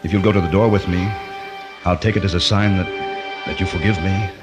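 An actor playing a gentle, protective country doctor in a radio drama, speaking in an earnest, coaxing manner. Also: male voice, deep voice, steady tone, fairly quick, over music, lightly acted. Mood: kind, apologetic.

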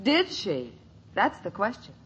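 An actress playing a proper, concerned, gently scolding mother in a 1950s radio family sitcom, with an earnest motherly delivery. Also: mid-range voice, huge pitch swings, measured pace, a little hiss or hum. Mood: alarmed, determined. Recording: muffled old radio broadcast.

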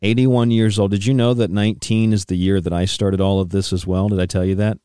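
A man's voice: fairly low pitch, little pitch movement, brisk, mellow, smooth, dry recording, clean studio sound. A thirty-year-old man hosting a radio interview, speaking earnestly in long, wordy questions and rephrasing himself as he goes. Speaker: radio show host.

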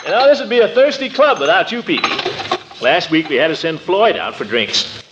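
An actor playing a sociable, blustery middle-aged bachelor in 1940s radio comedy, with a hearty, grumbling manner. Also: male voice, a little high, sing-song, fairly quick. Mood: hearty, bustling. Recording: noisy, muffled 1940s radio recording.

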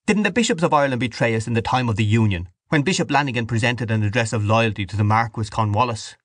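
A man's voice: mid-range voice, expressive, fast, slightly rough, dry recording, clean studio sound, voice pushed up. Man acting a passionate, defiant Irish nationalist. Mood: indignant. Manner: forceful, declamatory, impassioned.

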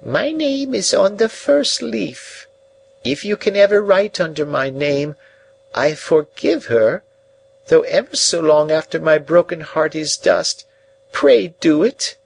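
A man voicing a heartbroken, despairing old woman who lives as a recluse, with slow, wailing, pleading cries. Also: on the high side, lively intonation, gravelly, a little hiss or hum, voice pushed up, full character voice, rough recording.

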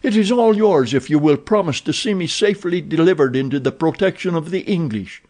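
A man's voice: on the high side, expressive, a little fast, darkish tone, voice pushed up, lightly acted. A man voicing a desperate Belgian captive who urgently pleads for his freedom, his voice raised. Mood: insistent.

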